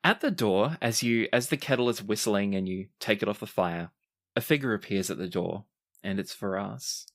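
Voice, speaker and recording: Low voice game master telling a fantasy rpg scene, dramatic descriptive narration. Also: lively intonation. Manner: descriptive, dramatic storytelling with deliberate phrasing. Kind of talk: narrating a tabletop roleplaying game.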